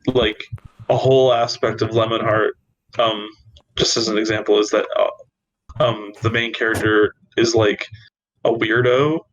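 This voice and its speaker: A young man speaks in a flat, level tone, rambling through his points deadpan and throwing in dry jokes. The recording comes through a dull, lo-fi mic with a faint hiss behind the voice. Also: lowish voice, measured pace. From Canada. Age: young adult. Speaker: podcast co-host. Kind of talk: casual chat.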